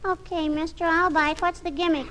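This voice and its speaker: Cheeky little girl, tiny squeaky-high voice, old radio comedy, heavy noise. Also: steady tone, normal speed, a loud crowd. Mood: cheeky, curious. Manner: childlike, repeats herself, interjects questioning hums. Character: cheeky little neighbour girl, inquisitive and skeptical. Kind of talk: 1940s radio comedy acting. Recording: noisy old radio recording.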